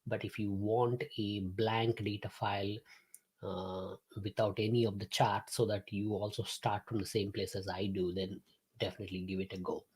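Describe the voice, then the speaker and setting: A man's voice: on the low side, level tone, moderate pace, darkish tone, slightly rough. A man, hosting a live-streamed class from his home office, chatting to viewers with many fillers and restarts. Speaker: host and instructor.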